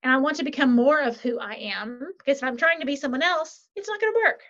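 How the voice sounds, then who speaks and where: A woman, a podcast guest and author, chatting in an animated, upbeat way and often checking in with "right?". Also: sits high, fast talker. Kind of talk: casual interview chat. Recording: lo-fi muffled sound.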